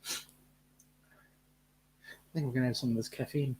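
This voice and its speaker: A man's voice: mid-range voice, steady tone, normal speed, warm tone, breathy edge. A man co-hosts a casual live chat. He keeps up playful, joking banter in an ordinary mid-range voice. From Australia. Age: late 50s. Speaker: co-host.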